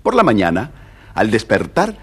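A man with an Argentine accent and a husky voice, narrating a scripted story for children. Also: on the low side, huge pitch swings, normal speed.

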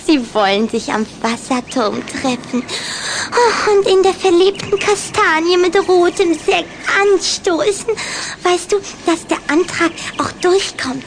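Young vampire girl for an audio play: high, chirpy, self-assured, insistent, noisy track. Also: lively intonation, unhurried, full character voice. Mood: eager, persuasive. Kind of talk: German children's radio drama acting.

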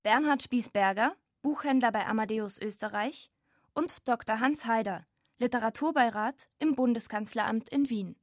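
A woman presenter with a fairly high voice reads scripted announcements. The sound is dry and close, like studio narration. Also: normal speed, dry recording.

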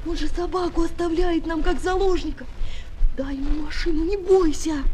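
An actress playing a soft-hearted, gullible young boy with a pleading, childlike manner in a 1970s Soviet children's radio play. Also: very high voice, fairly even intonation, moderate pace. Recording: noisy old flexi-disc record.

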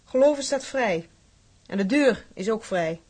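An actress playing a feisty, proud working-class wife in a radio drama, fiercely loyal, blunt and sardonic. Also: female voice, a little high, lively intonation, a little slow, a little mellow, husky. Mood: scornful.

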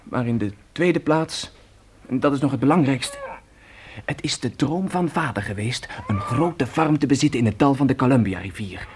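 A man's voice: medium pitch, normal speed, a little hiss or hum, close to an everyday voice. A man playing a determined, commanding adolescent pioneer boy in a radio play, speaking with earnest urgency. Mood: grave, urgent. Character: determined adolescent pioneer boy leading his siblings westward.

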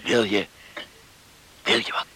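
A man playing a stubborn, embittered farmer father in a Dutch radio play, gruff, terse and halting. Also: pushed and bright, a little hiss or hum. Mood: anxious, halting.

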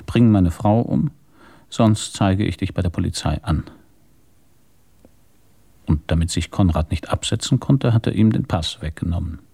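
An actor playing a calm, methodical police inspector who reasons matter-of-factly in a German radio crime drama. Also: male voice, sits low, moderate pace, vocal fry, long pauses, husky, full character voice. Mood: explaining, assured.

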